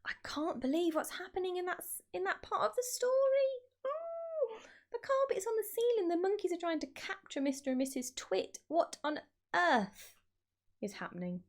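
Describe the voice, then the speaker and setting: A woman reading aloud to children as an expressive storyteller, speaking directly to her young listeners. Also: extremely high pitch, moderate pace. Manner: addresses child listeners with questions.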